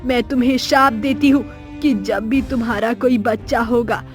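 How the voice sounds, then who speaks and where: A woman's voice: on the high side, fairly even intonation, normal speed, faint music behind, voice pushed down, natural voice. Woman doing a vengeful serpent spirit. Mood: bitter cursing. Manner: menacing, bitter, vowing revenge. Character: vengeful female shape-shifting serpent spirit. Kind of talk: storybook cartoon voice acting.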